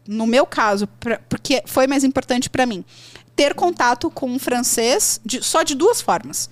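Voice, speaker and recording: A Brazilian woman in her thirties hosting a podcast, talking in an animated, chatty and slangy way. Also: high-pitched, measured pace, no room sound. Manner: animated, chatty, slangy, jumps between thoughts. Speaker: podcast host, English teacher. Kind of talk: casual podcast chat.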